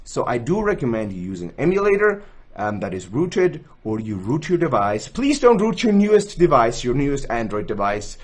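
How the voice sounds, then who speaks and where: A man's voice: mid-range voice, very animated, moderate pace. A young man from Switzerland with a Swiss accent, hosting a chatty screen-recorded tutorial from a small room, joking at himself as he explains. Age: young adult. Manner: chatty, self-joking, gestures while explaining. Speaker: gaming tools channel host. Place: indoors, small room, gaming chair.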